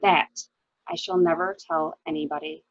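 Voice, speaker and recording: A dull, lo-fi recording of a woman acting a genteel wife in a murder mystery reading. Her voice is husky and slightly gravelly, with theatrical phrasing that carries resignation and bitterness. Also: low voice, steady tone, normal speed, no room sound. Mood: defensive, despairing. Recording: dull, lo-fi audio.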